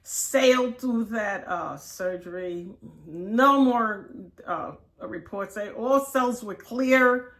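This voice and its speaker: A woman in her sixties telling personal stories as an interview guest, candid and expressive, often acting out what was said. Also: medium pitch, takes it slowly, hardly any pauses. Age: 60s. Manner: expressive, quotes herself and others as she tells it. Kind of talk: candid personal storytelling in conversation.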